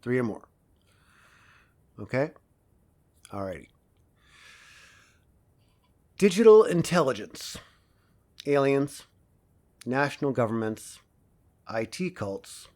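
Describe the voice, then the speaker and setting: A man's voice: mid-range voice, animated, slow pace, long pauses, a little mellow. A man playing a self-assured professor in an audio drama, lecturing didactically and theatrically as he prompts his class. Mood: didactic, assured. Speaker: university lecturer.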